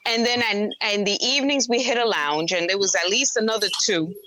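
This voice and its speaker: A woman who is a guest on a podcast, chatting in a relaxed, unhurried way. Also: mid-range voice, no room sound, rough recording. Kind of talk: casual chat.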